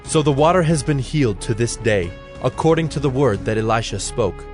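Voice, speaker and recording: A man's voice: mid-range voice, expressive, a little fast, a little mellow. A man narrating scripture from a script in a solemn storytelling manner. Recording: background music under the voice.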